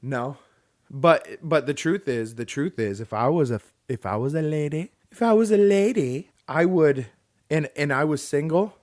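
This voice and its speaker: A man's voice: a little high, animated, moderate pace. A man co-hosting a casual podcast, joking and teasing, often restarting his sentences. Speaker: podcast co-host. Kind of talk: casual banter.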